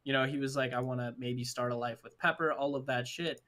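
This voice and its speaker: A young man hosting a casual movie discussion stream. His voice is bright and forceful at a middle pitch, and he talks in an emphatic, slangy, profane way. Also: steady tone, fairly quick. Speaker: host of a movie discussion livestream. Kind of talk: casual chat.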